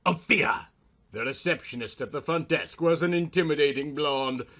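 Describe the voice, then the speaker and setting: A man's voice: on the high side, sharp tone. A man narrating a scripted comic story in a melodramatic, mock-serious manner.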